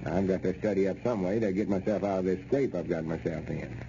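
A man's voice: sits low, steady tone, fairly quick, creaky, warm tone. An actor playing a proud, scheming old backwoods man with a rural Southern American accent and a folksy, exasperated drawl in an old radio comedy. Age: older. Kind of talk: 1930s-40s radio comedy acting. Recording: dull, noisy old radio recording.

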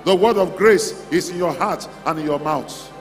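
A man's voice: a little high, moderate pace. A man preaching a charismatic sermon, exhorting his congregation in short, repeated declarations. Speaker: preacher leading a convention. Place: large hall at a church convention. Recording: echoey hall PA, music underneath. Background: music.

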